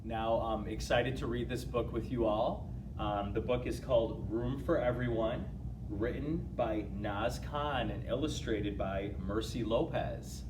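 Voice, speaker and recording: A man's voice: lowish voice, unhurried, background noise. A man reading a picture book aloud to young listeners, with casual asides. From United States, Illinois.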